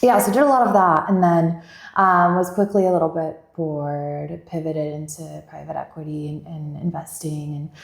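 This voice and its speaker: Gravelly low-voiced woman in an echoey room. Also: fairly even intonation, moderate pace. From Bulgaria. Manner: chatty, rambling, many "like" fillers. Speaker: venture investor guest. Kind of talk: casual interview chat.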